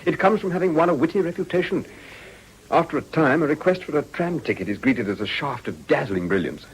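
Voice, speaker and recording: Slightly husky English gentleman. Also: fairly high pitch, lively intonation, brisk, poor audio. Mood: genial, confident. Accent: upper-class English. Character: genial, self-assured Edwardian gentleman suitor. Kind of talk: period radio drama acting.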